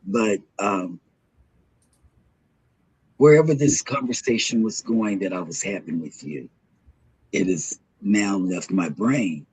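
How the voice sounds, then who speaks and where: Husky guy rambling on his morning show, slow talker, throat sounds sore, gets sidetracked. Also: on the low side, darkish tone, rough recording. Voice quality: throaty, hoarse. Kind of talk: casual rambling chat.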